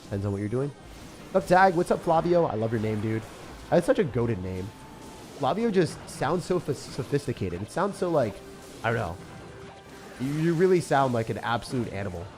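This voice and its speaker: A young man talking at a middle pitch, live-streaming a game and chatting loosely with his viewers. He jokes around, reads their messages aloud and drops slang like bro. Faint music plays underneath. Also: big pitch swings, moderate pace, darkish tone. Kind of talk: live-stream gameplay chat.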